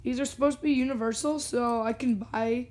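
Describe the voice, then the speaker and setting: A young vlogger with a hoarse, worn voice, chatting and joking casually while unboxing. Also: mid-range voice, fairly even intonation, fairly quick, a little mellow. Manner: chatty, jokey, self-talking. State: hoarse, lost voice.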